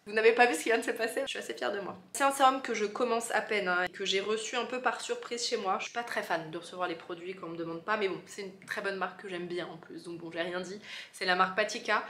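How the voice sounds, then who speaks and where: A woman's voice: medium pitch, fast talker, fairly bright tone, a little hiss or hum. Chatty woman with a cold, roomy sound. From France. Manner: chatty, many asides and fillers. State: stuffy with a cold. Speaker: beauty vlogger. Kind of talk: casual chat to camera. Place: her office, indoors.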